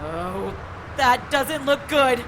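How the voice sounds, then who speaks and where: A woman's voice: high voice, expressive, slow pace, noisy background. An actress playing a blunt, urgent female police detective in an audio drama.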